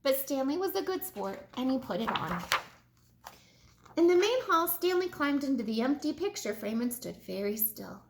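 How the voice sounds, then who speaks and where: A woman narrates a children's story as a read-aloud. Her voice sits high. She moves widely in pitch as she tells it, in an expressive storytelling style. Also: normal speed, reverberant, noisy background. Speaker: storybook reader.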